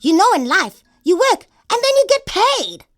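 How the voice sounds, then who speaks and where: A woman with a South African accent playing an excitable, cheeky female bunny in children's comedy audio theatre. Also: extremely high pitch, moderate pace, soft background music. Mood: curious, cheeky. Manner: exclaiming, theatrical, playful.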